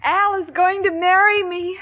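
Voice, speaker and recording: A woman playing a naive, sweetly excitable young bride-to-be who takes everything literally, in a 1940s radio sitcom. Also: very high-pitched, unhurried. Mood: earnest, excited. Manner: earnest, guileless, literal-minded. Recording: dull 1940s radio recording, studio audience.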